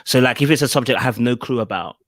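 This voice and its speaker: A man in his thirties with a South London accent, talking in a relaxed, slangy way as a podcast guest on a video call. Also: mid-range voice, quick pace, no echo, clean studio sound. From England. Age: 30s. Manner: relaxed, slang-heavy, says bro a lot. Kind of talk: casual interview over video call. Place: home room with shelves behind. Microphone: desk podcast mic on arm, earphones.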